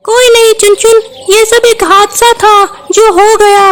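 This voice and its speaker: A woman's voice: extremely high pitch, flat delivery, a little slow, slight background noise. A woman voicing a brave, clever, kind young female bird in a children's cartoon, speaking in a reassuring, decisive way. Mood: caring, resolute. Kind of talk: Hindi children's cartoon voice acting.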